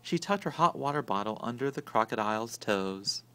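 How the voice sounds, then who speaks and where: A man reading a children's story aloud in an expressive, theatrical way that swings from hushed to booming. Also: moderate pace, clear, resonant tone. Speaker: storybook reader.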